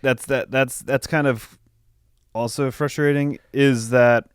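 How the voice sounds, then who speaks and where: A man's voice: mid-range voice, steady tone, takes it slowly, clean tone, no room sound, studio quality. A man co-hosting a podcast in casual film review chat, often hesitating and rewording mid-thought. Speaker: podcast co-host.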